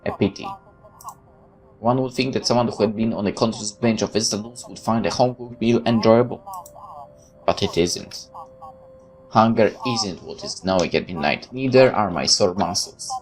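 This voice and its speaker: A man's voice: fairly low pitch, moderate pace, poor audio. A man hosting a let's play, reading game text aloud expressively and adding casual reactions.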